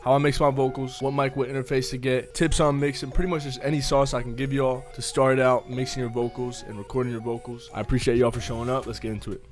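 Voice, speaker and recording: A young man with a naturally deep voice giving a casual, slangy tutorial on mixing vocals. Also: little pitch movement, quick pace, few pauses, faint music behind. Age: young adult. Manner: laid-back slangy teen talk, direct tips. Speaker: music producer teaching vocal mixing.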